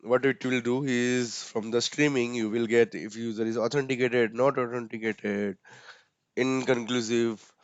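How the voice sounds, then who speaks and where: Indian-accented man explaining software settings. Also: medium pitch, steady tone, a little slow, dry recording, muffled recording. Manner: casual, many fillers, frequent tag "right?". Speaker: instructor.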